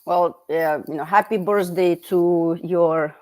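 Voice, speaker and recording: A woman with a somewhat low voice hosts an online interview. She talks in a chatty, friendly way and often restarts her sentences with fillers. She is heard over a video call. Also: fairly even intonation, slow pace, a little mellow, poor audio. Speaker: host.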